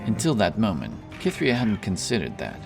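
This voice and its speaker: Male narrator, very low voice. Solemn, dramatic fantasy storytelling over soft music. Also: wildly swooping pitch, moderate pace, gravelly.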